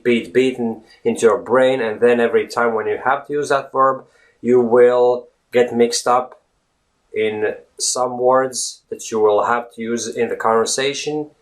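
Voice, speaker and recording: Latvian guy teaching english to camera, lots of uhs and "right?", casual video lesson. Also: mid-range voice. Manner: earnest, many fillers and "right?". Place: indoors, virtual studio backdrop. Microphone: camera close-up, facing camera.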